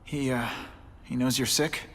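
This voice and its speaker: An actor playing an earnest, idealistic young superhero with an American accent in a video game cutscene. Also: male voice, mid-range voice, fairly even intonation, measured pace. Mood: concerned. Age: young adult. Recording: music behind the voice.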